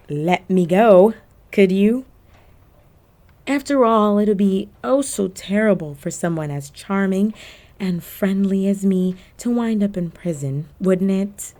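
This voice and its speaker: Casting: actress as sly female cat burglar for audio roleplay; flirty, coy, unhurried delivery with very lively intonation. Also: mid-range voice. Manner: coy, teasing, drawn-out phrasing with terms of endearment. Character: flirty, cunning female cat burglar villain, teasing and theatrical. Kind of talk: roleplay audio drama addressed to the listener.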